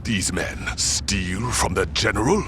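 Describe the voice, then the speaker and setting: An actor playing a calm, menacing male gang general in a video game, formal and measured. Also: deep voice, noisy background. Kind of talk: video game cutscene acting.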